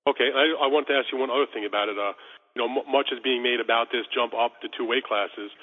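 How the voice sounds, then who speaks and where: A man's voice: medium pitch, fairly even intonation, fast talker, slightly rough. A man, a sports reporter asking long, wordy questions over a conference call. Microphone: telephone. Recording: phone conference line, tinny and reverberant.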